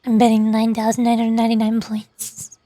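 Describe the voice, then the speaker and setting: A woman's voice: on the high side, monotone, a little fast, warm tone, slight background noise. A woman streaming a game, joking and stammering playfully as she riffs in commentary. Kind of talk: livestream game commentary with read-aloud dialogue. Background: music.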